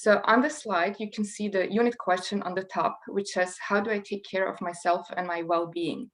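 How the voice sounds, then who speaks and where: Native Croatian speaker, woman teacher presenting, mid-range voice, friendly and halting. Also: mid-range voice, fairly even intonation. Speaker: German and English teacher. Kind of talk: workshop presentation.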